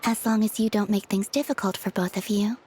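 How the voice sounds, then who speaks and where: An actress playing a composed young woman in video game dialogue, speaking in a measured, courteous way. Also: mid-range voice, steady tone, darkish tone, a little hiss or hum, close to an everyday voice. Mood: calm, cordial. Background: music.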